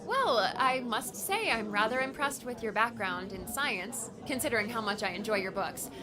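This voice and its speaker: A woman's voice: fairly high pitch, lively intonation, normal speed, a crowd in the background, lightly acted. A woman playing a polite, self-effacing young would-be journalist, speaking candidly in an audiobook drama. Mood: polite, friendly.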